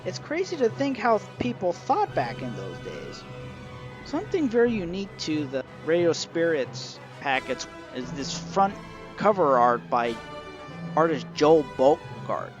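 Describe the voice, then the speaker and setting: A man's voice: a little high, wildly swooping pitch, a little slow. Guy doing a casual collection review voiceover, kinda dull muffled recording, music in the back. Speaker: reviewer of an old radio show collection. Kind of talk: informal review narration.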